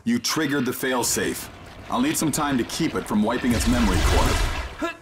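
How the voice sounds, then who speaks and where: An actor playing a mysterious male handler who guides an agent by radio, calm, commanding and instructive. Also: mid-range voice, normal speed, very noisy, close to an everyday voice. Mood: steady guidance. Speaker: mission guide in a video game. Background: game score music.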